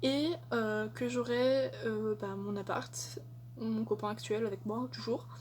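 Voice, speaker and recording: A woman's voice: a little high, steady tone, unhurried, a little mellow, slight background noise. A young woman answering tag questions in a casual vlog, chatty and hesitant with many fillers. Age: young adult. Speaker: vlogger.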